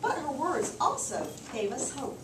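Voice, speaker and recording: Woman teacher, animated read-aloud delivery, fairly high pitch, noisy recording. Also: a little slow. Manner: repeats and affirms listeners' answers. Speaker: teacher reading aloud to children. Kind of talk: storybook read-aloud and class discussion. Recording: echoey, noisy room, distant microphone.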